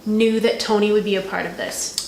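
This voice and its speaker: A woman's voice: mid-range voice, fairly even intonation, brisk, vocal fry, darkish tone. A woman hosting a casual video review, talking in a conversational, gushing fan's way. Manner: enthusiastic, gushing fan talk. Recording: roomy echo with background noise.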